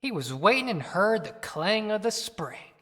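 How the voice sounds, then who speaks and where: A woman's voice: mid-range voice, sing-song, fairly bright tone. A woman playing a bitter, haunted dead man, delivering a dramatic poetic monologue in an audio play. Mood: bitter, sardonic. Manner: dramatic, declamatory, deliberate. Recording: long hall reverb.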